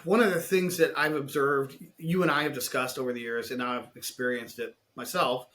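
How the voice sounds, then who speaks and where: A man hosting a podcast interview in a measured, articulate, conversational way, building points in lists. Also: medium pitch, fairly even intonation, fairly bright tone.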